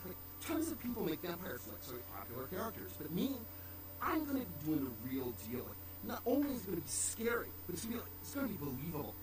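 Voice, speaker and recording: An actor playing an eager, pretentious aspiring screenwriter in a video game, rambling self-importantly like a slacker. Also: male voice, high voice, big pitch swings, quick pace, recorded from a distance, crowd noise, poor audio. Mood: excited, boastful.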